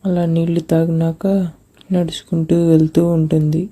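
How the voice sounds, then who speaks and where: A woman's voice: low-pitched, monotone, normal speed, dark tone, husky. A woman narrating a scripted moral story for children.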